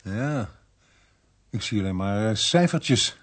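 An actor playing an earnest male narrator-protagonist, telling a suspense story in a measured radio drama style. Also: fairly low pitch, animated, slight background noise. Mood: puzzled. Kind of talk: dramatic first-person radio play narration.

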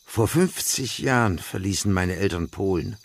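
A man's voice: low voice, animated, moderate pace. A man playing a wistful, homesick musician past middle age in a German radio play. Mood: wistful, nostalgic. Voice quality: husky, creaky.

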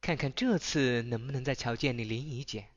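A man's voice: mid-range voice, a little fast, natural voice. A man playing an earnest, good-natured young man in a Chinese ancient fantasy radio drama. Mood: amazed. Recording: roomy home recording under music. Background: background music.

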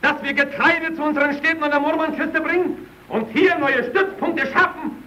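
A man's voice: very high-pitched, steady tone, moderate pace, bright, forceful tone. A male actor playing a fiery Soviet worker arguing at a party meeting in a vintage radio play, declaiming insistently. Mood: heated.

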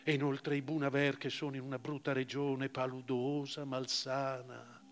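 A man giving a dramatic literary reading as a stage actor, with deliberate phrasing and weighted pauses. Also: medium pitch, flat delivery, slight background noise. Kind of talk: dramatic literary reading, first-person narration. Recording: long hall reverb of a live performance.

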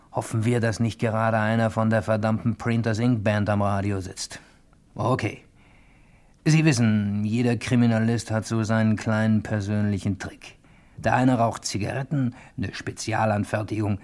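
An actor playing a cocky, tipsy private detective in a wry, swaggering 1950s radio crime play style. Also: male voice, fairly low pitch, creaky, darkish tone, dry recording. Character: tipsy hard-boiled male private detective, cocky and jaunty. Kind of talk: 1950s German radio crime play, first-person narration.

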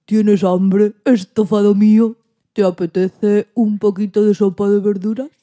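A woman playing a tender old male wolf in a children's radio-play storybook, with drawn-out, expressive delivery. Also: medium pitch, close to an everyday voice. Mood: soft-hearted, kindly. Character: old male wolf, tender, gruff but soft-hearted.

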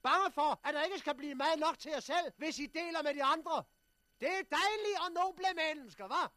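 An actor playing an indignant agitator in a 1960s radio drama, haranguing and sarcastic. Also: male voice, very high voice, animated, pushed and bright, dry recording, full character voice. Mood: indignant, defiant. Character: angry sighted agitator fighting for the blind crowd.

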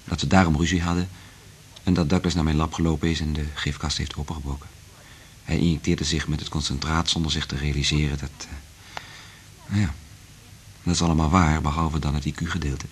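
An actor playing an earnest, resolute scientist in love in a radio play, speaking in a measured, intimate way with a husky voice. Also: male voice, a bass voice, little pitch movement, a little hiss or hum. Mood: matter-of-fact, guarded. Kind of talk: Dutch radio play acting.

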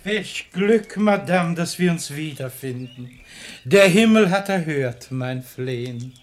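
A man's voice: fairly high pitch, expressive, slight background noise. A man playing a sanctimonious, oily hypocrite posing as a holy man, with pompous delivery in a verse comedy radio play. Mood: fawning. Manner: pompous, drawn-out, pious.

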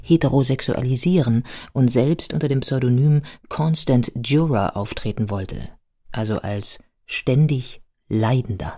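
Eine Frau erzählt als Sprecherin eines literarischen Hörspiels, gemessen und deutlich artikuliert. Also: female voice, rumbling low, darkish tone. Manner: measured, articulate. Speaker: narrator. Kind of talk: scripted literary radio narration. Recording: music behind the voice. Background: prominent music.

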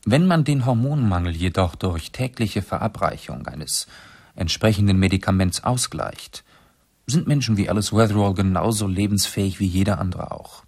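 An actor playing a shrewd English gentleman detective in a 1970s radio play, speaking in a deliberate, analytical and urbane manner. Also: lowish voice, breathy edge. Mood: analytical, composed. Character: shrewd English gentleman detective, methodically explaining his deductions.

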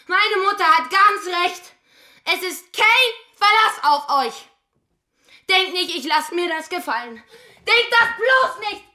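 A jilted young woman in a radio play, very high and piercing. She is hurt and accusing, full of reproach. The sound is roomy and echoing. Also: animated, slow pace, bright, forceful tone, full character voice. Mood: bitter, accusing. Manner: reproachful, emotional. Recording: roomy, echoey radio drama scene.

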